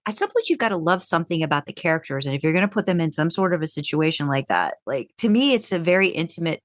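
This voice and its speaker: Low-voiced reflective woman co-host. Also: expressive, fast talker, no room sound, studio quality. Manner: reflective, uses lots of likes. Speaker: podcast co-host. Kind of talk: casual podcast interview.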